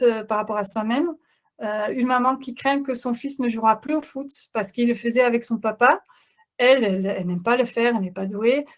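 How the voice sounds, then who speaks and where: A somewhat high-pitched woman coach presenting in a webinar. She is not a native speaker and talks in a halting way, full of pauses, fillers and restarts as she explains her examples. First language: not French. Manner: hesitant, many fillers and restarts. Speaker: parenting coach.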